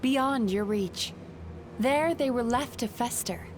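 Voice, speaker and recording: A woman playing a calm, knowing young dream-eating yokai in an anime video game, explaining in measured lines. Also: a little high, slight background noise. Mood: grave, explaining.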